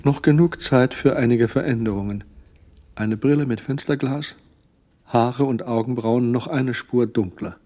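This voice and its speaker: A man's voice: medium pitch, unhurried, dark tone, lightly acted. A man acting as the dry, observant narrator of a German crime radio drama. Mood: dry, ironic.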